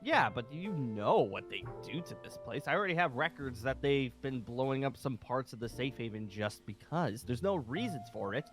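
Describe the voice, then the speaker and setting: A man's voice: mid-range voice, animated, moderate pace, few pauses, lightly acted. A man playing an exasperated, sarcastic military colonel in an improvised video game roleplay, repeating himself as he goes. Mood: concerned. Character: exasperated, sarcastic colonel running a zombie survivor safe haven. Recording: music behind the voice.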